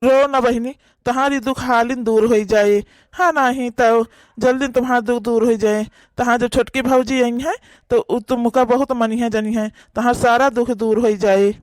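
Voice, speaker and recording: A woman with an Awadhi accent voicing a kind young elder brother who gently comforts his sister in a cartoon. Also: a little high, fairly even intonation, normal speed, dry recording, pitched below the performer's own voice, close to an everyday voice. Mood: comforting.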